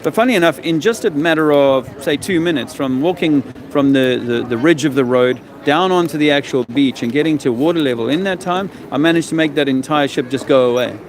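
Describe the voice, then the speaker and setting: A man's voice: a little high, brisk, few pauses. A man with a South African accent, tired, explaining his ideas earnestly in a casual outdoor conversation on a street. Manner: earnest, explanatory, eager to make his case. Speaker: interviewee.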